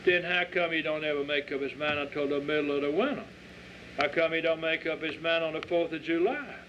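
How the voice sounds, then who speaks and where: A man's voice: a little high, brisk, fairly bright tone, lightly acted. A man voicing an earnest little girl from the rural South who corrects others and appeals to her elders, in a story reading. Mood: questioning. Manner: pleading, corrective, folksy. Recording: dull lo-fi audio with faint noise.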